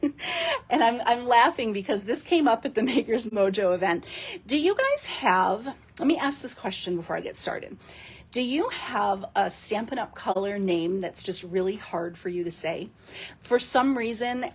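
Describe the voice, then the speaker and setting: Hoarse raspy woman chatting and giggling through a craft demo, kinda high voice. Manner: chatty, giggly, rambling with fillers. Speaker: card-making demonstrator. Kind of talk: casual live craft demo.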